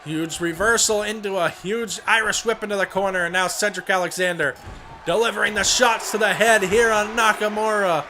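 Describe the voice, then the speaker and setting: A young man with a hoarse voice from a cold, commentating a wrestling video game play-by-play and rising to shouts on big moves. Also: high voice, fairly even intonation, moderate pace. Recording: home recording with clear background noise.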